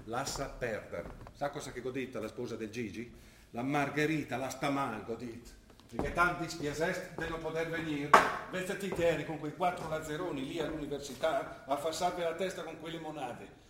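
An actor playing a middle-aged provincial father in a stage drama, gruff and reproachful, speaking colloquially with a Trentino northern Italian accent. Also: fairly high pitch, normal speed, background noise, natural voice. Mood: hurt, reproachful. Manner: scolding, colloquial. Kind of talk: stage drama acting in dialect. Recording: roomy theatre sound, faint music. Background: faint music.

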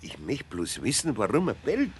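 A man's voice: medium pitch, wildly swooping pitch, moderate pace. Older bavarian craftsman, flustered and grumbly, voice goes all over the place, old radio play. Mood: flustered, irritated. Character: flustered, good-natured older craftsman, gruffly patient. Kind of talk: 1970s children's radio play acting. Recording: 1970s radio play, faint noise.

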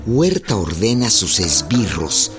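A man's voice: low voice, big pitch swings, unhurried. A man acting as the solemn narrator of a historical radio drama, reading scripted narration. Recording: music behind the voice.

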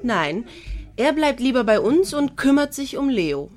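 A woman's voice: sits high, very animated, measured pace, soft background music. An actress playing a bossy, protective female fan in a German radio play, opinionated and teasing.

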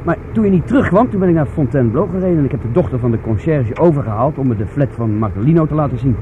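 A male actor as a chatty sidekick in a radio play, talking quickly and a little hesitantly. The sound is thin and phone-like, with clear car noise behind him. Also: medium pitch, lively intonation, lightly acted. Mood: chatty, sheepish. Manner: chatty, hesitant explaining with tag questions. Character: casual male sidekick who came to the rescue. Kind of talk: radio drama dialogue.

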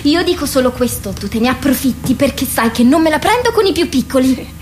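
An actress playing a boastful, protective older sister warrior in a radio drama, teasing and admonishing. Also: female voice, sits high, animated, brisk, slight background noise.

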